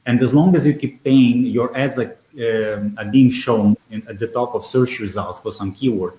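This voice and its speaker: A man with a mid-range voice, answering interview questions over a video call. He talks in a steady, measured way and often pauses on "uh". The sound carries a clear roomy echo. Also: medium pitch. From Belarus. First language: Russian. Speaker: guest, SEO consultant.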